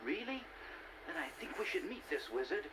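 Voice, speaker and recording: A man's voice: sits high, very animated, moderate pace, background noise. A man voicing an eager, naive young sidekick creature in a children's read-along story, with a wide-eyed, exclaiming manner. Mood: amazed. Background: music.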